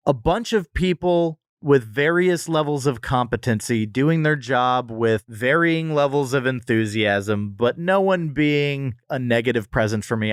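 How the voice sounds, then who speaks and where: A man's voice: medium pitch, smooth, dry recording, clean studio sound. An American man chatting casually as a podcast co-host, giving blunt, opinionated review commentary with exaggerated comparisons. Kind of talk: casual conversational review chat.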